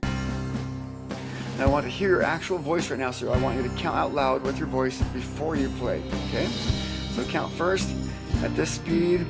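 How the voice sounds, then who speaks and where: A man teaching music, calmly talking through a software tutorial step by step with a soft voice. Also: medium pitch, measured pace, gravelly, long pauses. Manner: calm step-by-step explaining, counting aloud. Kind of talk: screen-recorded software tutorial.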